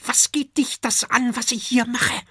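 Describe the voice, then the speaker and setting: A man voicing a young male eater-dragon who is rude and boastful, snapping back in a high, scornful voice. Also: sing-song, slow pace, slightly rough, no echo, studio quality, exaggerated voice. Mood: threatening.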